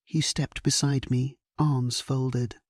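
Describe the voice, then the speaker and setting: A man narrating a first-person historical sleep story in a solemn, measured, hushed, breathy voice, as a grave and resolute medieval king. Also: medium pitch, level tone, no echo, clean studio sound.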